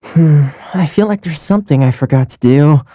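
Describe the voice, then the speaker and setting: An actor playing a friendly young male housekeeper in a video game, speaking in a warm, easygoing, earnest and chatty way. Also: fairly high pitch, moderate pace, no echo, lightly acted. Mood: friendly, cheerful. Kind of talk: scripted game character voice lines.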